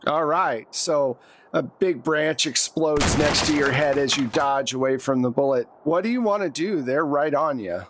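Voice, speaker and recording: Higher-voiced man running a tabletop game. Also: moderate pace, background noise. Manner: conversational, second-person scene narration. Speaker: game master. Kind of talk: narrating a tabletop roleplaying game.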